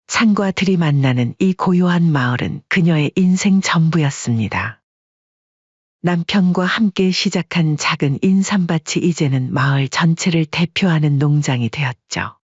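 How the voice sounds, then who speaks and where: A man narrating a family story in calm, measured audiobook style. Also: on the high side, husky, dry recording, dull audio.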